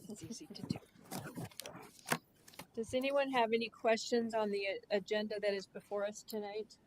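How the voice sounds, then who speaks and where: Woman, board chair, casual, joking, plain-spoken, mid-pitched voice, light hiss, conference room. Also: steady tone, moderate pace. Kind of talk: chairing a public meeting.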